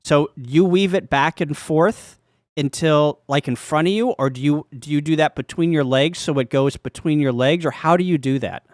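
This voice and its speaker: A man with a slightly higher-pitched voice and a dry, close sound hosts a casual interview. He feels his way through questions, restarting phrases and confirming details with short acknowledgements. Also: no room sound. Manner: attentive, halting questions with frequent short acknowledgements. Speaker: interviewer, live stream host.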